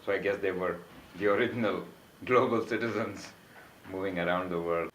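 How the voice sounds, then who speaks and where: A young man, a graduate student, answering thoughtfully in a slow, considered way, recorded in a roomy indoor space. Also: low voice, steady tone, a bit bright, a little hiss or hum. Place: indoor room. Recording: roomy echo.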